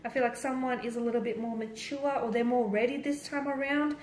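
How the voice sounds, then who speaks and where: A woman's voice: on the high side, steady tone, brisk, darkish tone. A woman giving a conversational tarot reading straight to the viewer, stressing points by repeating and rephrasing them. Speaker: tarot card reader and host. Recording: roomy echo with faint background noise.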